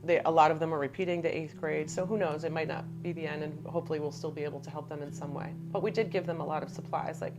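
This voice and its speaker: Low-voiced middle-aged woman teacher. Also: fairly even intonation, fast talker, few pauses, dull audio, loud music behind the voice. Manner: thoughtful, searching for words. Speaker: guest, former teacher. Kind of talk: casual interview chat.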